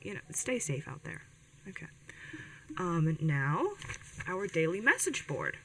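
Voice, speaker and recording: A woman's voice: fairly low pitch, sing-song, measured pace, vocal fry, sharp tone, exaggerated voice, rough recording. A woman playing an earnest, caring older sibling who hosts a community radio show in an audio drama, chatty and a little hesitant. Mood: warm, hopeful. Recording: music bed behind the voice.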